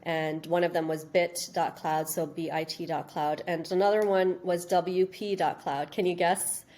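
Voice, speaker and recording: A woman from Canada, born in India, giving informal, halting interview answers and circling back over her phrases. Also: lowish voice, fairly even intonation, darkish tone. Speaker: interview guest, registry business executive.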